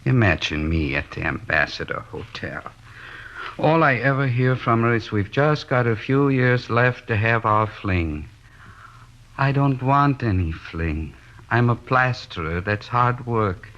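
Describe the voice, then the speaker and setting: An actor in a 1950s radio drama playing a henpecked, weary middle-aged American plasterer who rambles plaintively and hesitantly. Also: male voice, mid-range voice, animated, measured pace, lightly acted. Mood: plaintive, defensive. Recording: dull, band-limited old radio recording.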